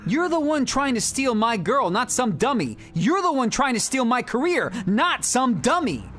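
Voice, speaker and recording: A man's voice: extremely high pitch, sing-song, normal speed, a bit bright, exaggerated voice. A man playing a bitter, lovelorn struggling entertainer in a noir audio drama, wry, self-pitying and anxious, full of wisecracks. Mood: nervous, indignant.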